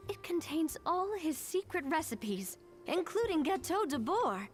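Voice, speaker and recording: Brief: an eager young woman who explains, high and lively. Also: measured pace, soft background music, exaggerated voice. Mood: explaining. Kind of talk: game voice acting.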